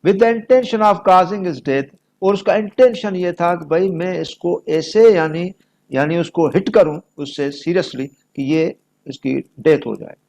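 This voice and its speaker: Pakistani guy teaching a class, kinda high voice, really slow, repeats key phrases for emphasis. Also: a little mellow. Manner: didactic, emphatic, switching between Urdu and English, repeating key phrases. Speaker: law lecturer. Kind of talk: explanatory lecture, reading illustrations aloud and explaining them.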